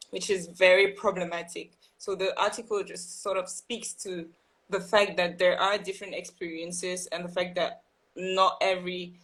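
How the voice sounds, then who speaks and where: A woman's voice: fairly low pitch, a bit bright, rough recording. A young woman from Burkina Faso, a guest writer, talking earnestly and thoughtfully on a live video call, with frequent ums and restarts. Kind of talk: casual live-stream conversation.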